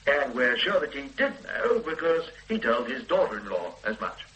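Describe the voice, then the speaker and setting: A sharp, exact cop boss, dry and in charge, bright voice, bit of old radio hum. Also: male voice, medium pitch, very animated, rough recording. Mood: briefing. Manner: measured, precise, dryly authoritative. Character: methodical middle-aged police detective superintendent. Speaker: detective. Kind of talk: 1970s radio drama acting.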